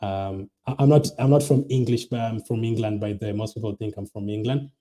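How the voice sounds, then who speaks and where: A man's voice: on the low side, fairly even intonation, brisk, warm tone. A young man hosting a casual fantasy football stream, rambling with many ums and restarts, tired late at night. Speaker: fantasy football channel host. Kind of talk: casual livestream chat reading viewer comments.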